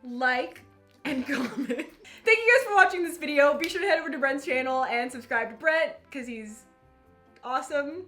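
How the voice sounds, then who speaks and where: Young woman, high lively voice, teasing and giggly, vlog host, echoey room, faint music. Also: moderate pace. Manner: teasing, deadpan jokes, giggly. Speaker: vlogger host. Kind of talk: casual vlog chat. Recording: roomy echo, faint music.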